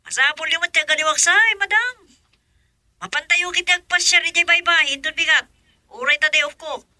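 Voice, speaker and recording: An actress playing an eager, deferential household aide in an Ilocano radio drama, with a thin, shrill voice. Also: female voice, moderate pace, bright, forceful tone, no room sound, clean studio sound, exaggerated voice.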